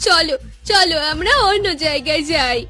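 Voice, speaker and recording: A woman's voice: very high voice, expressive, normal speed, pushed and bright, exaggerated voice. A woman voicing a pleading young daughter in a cartoon. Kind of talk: cartoon voice acting.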